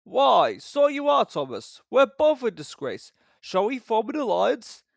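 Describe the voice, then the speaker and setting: A man's voice: very high-pitched, wildly swooping pitch, measured pace, gravelly, no room sound, studio quality, pitched above the performer's own voice, full character voice. A man voicing a pompous, hearty big express engine in a grand, blustering manner. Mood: grand, friendly.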